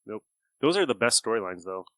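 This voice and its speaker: A man's voice: fairly low pitch, sing-song, fairly quick, no echo, clean studio sound. A man co-hosting a podcast in casual, conversational review chat. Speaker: podcast co-host. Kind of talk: casual chat reviewing old radio shows.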